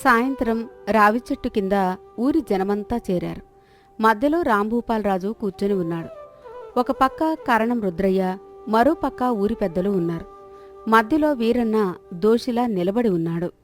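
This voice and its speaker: A woman's voice: medium pitch, moderate pace. A woman narrating a scripted folk story. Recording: music behind the voice.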